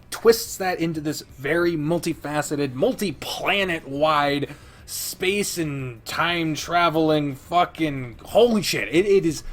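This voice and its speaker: A man's voice: mid-range voice, fairly bright tone. A man hosting a casual, enthusiastic countdown video, speaking emphatically and dramatically with playful asides and some swearing. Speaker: host of a game video channel. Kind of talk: casual, enthusiastic top-ten countdown commentary.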